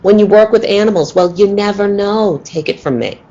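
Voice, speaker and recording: A woman playing a sharp-tongued circus tiger trainer in a radio play, curt and challenging. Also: medium pitch, moderate pace, highs cut off, natural voice. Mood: defensive. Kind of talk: old-time radio play acting.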